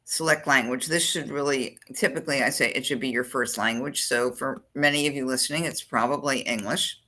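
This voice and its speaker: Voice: medium pitch, steady tone, fairly quick, sharp tone. A college admissions counselor giving a screen-share walkthrough of an application form, thinking aloud while clicking through the fields.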